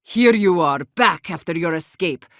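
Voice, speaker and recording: A woman's voice: on the low side, animated, moderate pace, no room sound, studio quality. An actor, a woman, playing a blunt, guarded and embittered leader of besieged wardens in a video game. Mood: bitter. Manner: blunt, guarded, sharp-tongued, swearing. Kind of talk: video game character dialogue.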